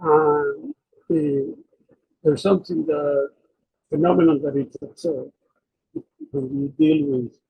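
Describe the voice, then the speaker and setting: Gravelly, higher-pitched man presenting haltingly. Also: lo-fi sound. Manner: halting, repeats and rephrases phrases. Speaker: presenter of a technical talk. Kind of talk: explanatory academic slide presentation.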